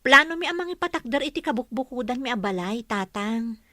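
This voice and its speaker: A woman plays a young married daughter in an Ilocano-accented radio drama. Her voice sits fairly high, bright and dryly recorded. She speaks with earnest, respectful pleading. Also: expressive, brisk, no echo, clean studio sound.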